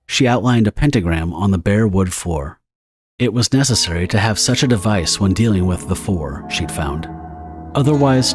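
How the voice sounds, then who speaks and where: A man narrating a dramatic story from a script. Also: on the low side.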